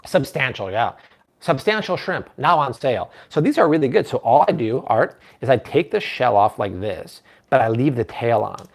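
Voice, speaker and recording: A man in his thirties talks viewers through a recipe on a live cooking stream in his home kitchen. His voice sits at a middle pitch for a man. He is upbeat and chatty, and he often stops to answer viewer comments. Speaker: cooking show host.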